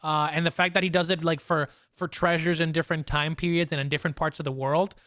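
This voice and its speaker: Young guy hosting a casual podcast, dry close sound, kinda high voice, excited rambly delivery. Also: fast, no room sound. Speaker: podcast host. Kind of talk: casual pop culture discussion.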